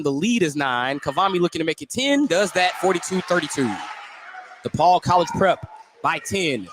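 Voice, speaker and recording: An American man doing live play-by-play basketball commentary for radio, getting excited on big plays. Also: high-pitched. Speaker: play-by-play sports announcer. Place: indoor basketball gym. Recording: noisy live gym broadcast. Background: loud gym ambience.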